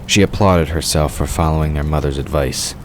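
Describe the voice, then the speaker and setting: A man's voice: very deep voice, steady tone, brisk, a little hiss or hum. A man narrating a scripted fiction story as the narrator of an audio drama.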